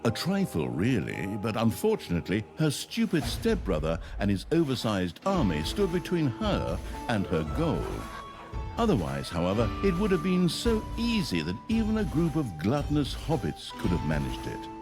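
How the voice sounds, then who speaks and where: A man's voice: medium pitch, wildly swooping pitch, normal speed. A man narrating a comedic fantasy game story in a theatrical, mock-grand storyteller manner with a wry delivery. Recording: music under the voice. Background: music.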